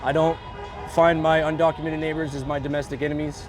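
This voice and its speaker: A man's voice: fairly high pitch, level tone, brisk, over music. A man from California, interviewed outdoors at a rally, speaking earnestly in a plain way with frequent restarts. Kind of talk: street interview. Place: outdoors on a city street.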